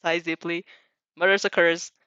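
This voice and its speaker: A woman's voice: lowish voice, little pitch movement, normal speed, pushed and bright, clear, resonant tone, dry recording, dull audio. Woman reading fan fiction aloud, dry sound. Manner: giggly, stumbles over phrases and rereads them. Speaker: fan fiction reader reacting as she reads. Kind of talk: expressive storytelling read-aloud with amused reactions.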